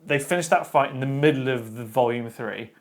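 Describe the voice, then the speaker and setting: A man, co-hosting a casual podcast chat about books, opinionated and quick with restarts. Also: mid-range voice. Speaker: podcast co-host.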